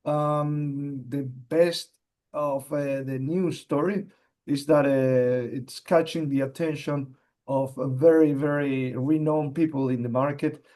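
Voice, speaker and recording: A man with an Argentine Spanish accent, a geologist answering interview questions in English, halting with frequent fillers and repeated words. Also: fairly high pitch, fairly even intonation, dark tone. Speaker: mining company executive, geologist.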